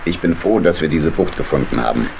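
An actor playing a fussy, avuncular badger boat captain who mutters and repeats himself in a children's radio play. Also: male voice, rumbling low, lively intonation, a little fast, vocal fry, exaggerated voice. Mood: cosy. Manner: hesitant, muttering, repeats words. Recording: noisy storm and engine ambience.

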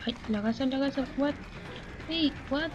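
A woman's voice: high-pitched, a little slow, dull audio, music in the background. A woman giving casual gaming commentary, murmuring to herself and dropping in English interjections. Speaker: gaming channel host. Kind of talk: casual horror game commentary. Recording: clearly noisy, game sounds behind. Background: game ambience.

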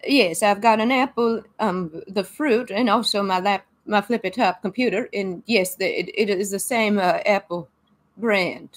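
A woman's voice: mid-range voice, unhurried, lightly acted. A woman putting on the voice of a forgetful eighty-nine-year-old grandmother who rambles and mishears things. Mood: rambling. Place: small home room, indoors.

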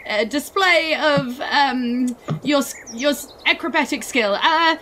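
A woman's voice: high-pitched, slow pace, a bit bright, slight background noise, pitched above the performer's own voice, rough recording. A woman voicing a flustered, self-important male bird who stammers politely in a tabletop game. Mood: flustered, stammering.

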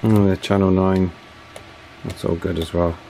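A man giving a hands-on repair tutorial at a workbench in an informal, matter-of-fact way with hesitant pauses. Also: low voice, little pitch movement, warm tone, slight background noise, low-quality recording. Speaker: radio repair technician and presenter. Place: indoors at an electronics workbench.

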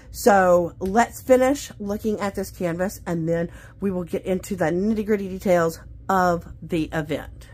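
A woman chatting casually to viewers as a craft vlogger, in a gushing, exclamatory, folksy way. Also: mid-range voice. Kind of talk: casual unboxing chat to viewers. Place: indoors at a craft table.

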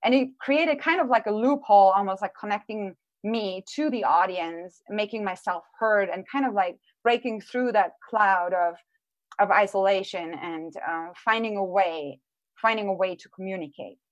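A woman in her forties, born and raised in Austria, talks her audience through her work on a live video call. She speaks in a thoughtful, unhurried way, often pausing on an um or starting a phrase again. Her voice is mid-pitched and comes through an earphone headset in a dry, close room. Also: no echo, dull audio. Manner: thoughtful, with frequent ums and restarts. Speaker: artist giving a live virtual talk. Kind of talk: casual presentation. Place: small room at home.

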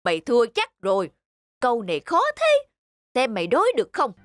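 A man's voice: very high voice, wildly swooping pitch, a little fast, fairly bright tone, exaggerated voice. A man voicing a big, pompous middle-aged scholar in a cartoon, a boastful, hot-tempered bully who sneers and blusters. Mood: taunting.

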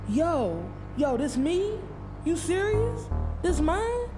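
Woman playing young street guy, restless lover, urgent slang, raised pitch, audiobook drama, music underneath. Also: very animated, takes it slowly, exaggerated voice. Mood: thrilled disbelief.

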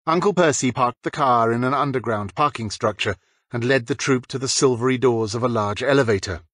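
Husky male audiobook narrator, dry sound. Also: medium pitch, brisk, fairly bright tone, no room sound. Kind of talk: scripted fiction narration.

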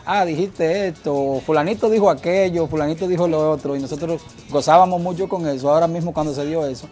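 A man's voice: fairly high pitch, fast talker, a little mellow. A man co-hosting a studio talk show, explaining in an earnest manner. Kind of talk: casual studio chat. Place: TV studio set. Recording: TV broadcast with background music.

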